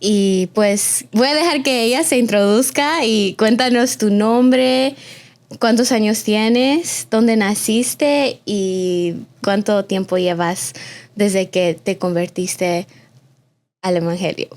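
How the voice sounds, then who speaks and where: A woman's voice: medium pitch, takes it slowly, a little mellow. A woman in her early twenties from Austin, Texas, hosting a conversational interview podcast in an effusive manner, with the odd English filler word. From Austin, Texas, of a Mexican family. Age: early 20s. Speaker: podcast host.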